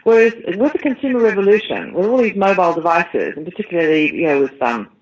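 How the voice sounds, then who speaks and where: A woman's voice: fairly low pitch, expressive, quick pace, darkish tone. A woman with a New Zealand accent, a tech company CEO, explaining her business at length as an interview guest. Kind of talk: interview answers. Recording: dull lo-fi line.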